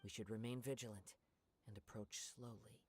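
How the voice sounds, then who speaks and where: Calm young swordsman played by a man, faint noise. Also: on the low side, natural voice. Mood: calm, watchful. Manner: measured, formal, poetic. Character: calm young wandering swordsman, thoughtful and perceptive. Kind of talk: video game voice acting.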